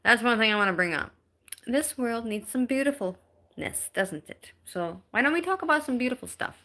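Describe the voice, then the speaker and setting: Bright-voiced chatty woman in her thirties. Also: on the high side, animated. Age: 30s. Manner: chatty and halting, with many ums and restarts. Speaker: live streamer. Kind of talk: casual live-stream chat reading viewer comments.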